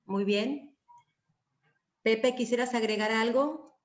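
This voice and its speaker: A woman acts as moderator of a panel discussion. Her voice is fairly high, and it comes through dull and lo-fi, like online call audio. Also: slow pace.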